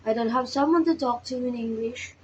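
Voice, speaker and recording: A woman's voice: on the high side, fairly quick, darkish tone, muffled recording, slight background noise. A young woman in her twenties with a Filipino accent, talking to herself in a casual vlog to practice English, hesitant and self-conscious, sleepy. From Philippines. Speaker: vlogger. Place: small workplace counter, indoors. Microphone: phone held nearby.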